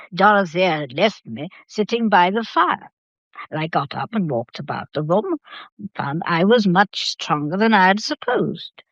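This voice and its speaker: Imperious old matriarch, dryly recorded. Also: female voice, on the low side, no echo, highs cut off. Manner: stately, brisk, commanding. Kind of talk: detective radio drama acting.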